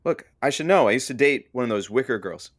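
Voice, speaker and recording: An actor playing a superstitious radio show colleague in an audio drama, talking in a casual, insistent way. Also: male voice, medium pitch, expressive, quick pace, no room sound, studio quality, natural voice. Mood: alarmed, insistent.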